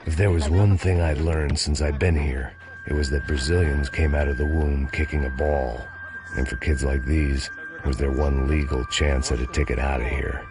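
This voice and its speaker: An actor playing a middle-aged American ex-cop, bitter and deadpan, in hard-boiled noir game narration. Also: male voice, extremely low pitch, moderate pace. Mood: bitter, resigned. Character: world-weary, self-loathing American ex-cop turned bodyguard. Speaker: narrator and protagonist. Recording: loud music under the voice.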